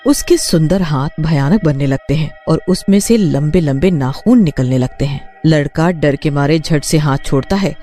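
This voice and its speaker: Male narrator, mellow relaxed tone, scripted cartoon storytelling, faint music behind. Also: a little high.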